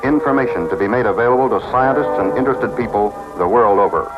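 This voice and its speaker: A man's voice: lowish voice, fast. American male narrator, mid-range voice, formal vintage documentary read, dull old film sound with prominent music.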